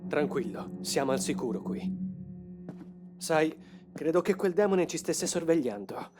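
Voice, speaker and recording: A man's voice: a little high, lively intonation, measured pace. A man voicing a grave, determined young man in a motion comic dub, with a solemn, explanatory delivery. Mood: resolute. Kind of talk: dramatic motion comic dubbing. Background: music.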